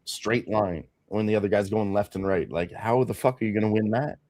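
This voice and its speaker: Loud, cussing young guy hosting a fight stream. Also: fairly low pitch, a little fast, dry recording. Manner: loud, profane, excitable storyteller. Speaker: host of a fight watch party stream. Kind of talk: casual chat and live fight commentary.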